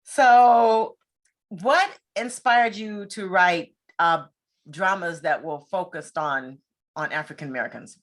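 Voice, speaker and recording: A woman with a slightly low voice hosts an interview. Her delivery is conversational and informal, like a relaxed chat with a guest. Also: slow pace. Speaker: interview host.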